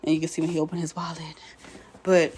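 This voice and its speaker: A young woman, hoarse and a bit nasal while recovering from illness, casually recapping a show episode in a laid-back way, clearing her throat now and then. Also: low-pitched, monotone, fast talker, darkish tone, a little hiss or hum. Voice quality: slightly nasal. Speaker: show commentator.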